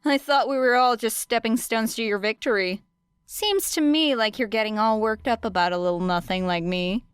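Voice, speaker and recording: A woman voicing a scornful, unimpressed teenage girl in a deadpan, cutting way. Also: a little high, normal speed, smooth, no echo, lightly acted. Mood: dry scorn.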